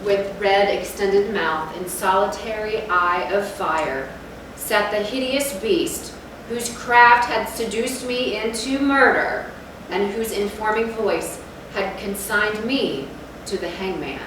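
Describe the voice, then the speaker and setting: A woman's voice: mid-range voice, slow pace, natural voice. A woman playing a bitter murderer recounting a crime in a formal, dramatic Gothic stage reading. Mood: dread, bitter. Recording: echoey hall, distant mic, noisy.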